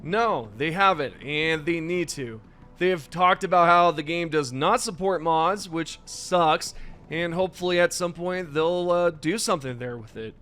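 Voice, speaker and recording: A young man hosting a gaming news video, chatty and enthusiastic, his voice slightly raspy today. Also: fairly high pitch, unhurried, a bit bright, soft background music. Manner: enthusiastic, chatty, addresses viewers directly. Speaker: gaming channel host. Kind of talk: casual gaming news commentary over gameplay.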